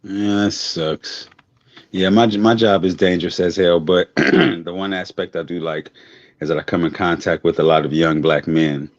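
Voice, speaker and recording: Man in his forties, very low voice, dry close sound, dull lo-fi audio, easygoing rambling chat. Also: fairly even intonation, normal speed, no echo. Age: 40s. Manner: laid-back, rambling, swears casually. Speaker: co-host. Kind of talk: casual chat.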